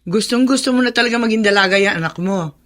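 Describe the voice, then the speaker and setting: A woman's voice: medium pitch, fast talker, fairly bright tone, close to an everyday voice. An actress playing a caring, teasing mother in a Filipino radio drama, with a Filipino accent. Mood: warm teasing.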